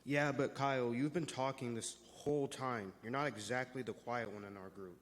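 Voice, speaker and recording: In a reverberant church hall, a young man plays a friendly teenage boy with a medium-pitched voice. He reads his explaining part in a reader's theater. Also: steady tone, moderate pace, a little hiss or hum, natural voice. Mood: teasing. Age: teenager or young adult.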